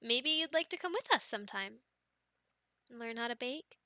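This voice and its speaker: A young woman doing a girlfriend ASMR roleplay, talking softly and rambling with many ums and small laughs. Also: a little high, animated, moderate pace, no room sound.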